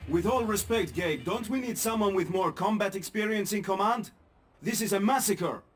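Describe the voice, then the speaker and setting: A man's voice: sits high, moderate pace, faint music behind, lightly acted. A man playing an anxious young resistance fighter in a game who speaks bluntly and urgently. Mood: alarmed.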